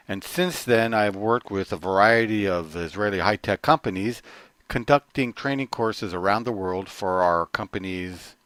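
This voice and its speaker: A man's voice: fairly low pitch, fairly bright tone, dry recording. Earnest middle-aged man, dry sound. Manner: earnest, halting with restarts. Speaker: corporate trainer. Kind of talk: personal testimony to camera.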